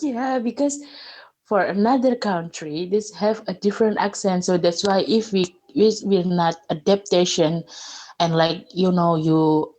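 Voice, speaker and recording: A young Indonesian woman with an Indonesian accent, chatting in halting learner English and laughing often. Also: on the low side, darkish tone, poor audio. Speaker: conversation partner. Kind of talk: casual chat.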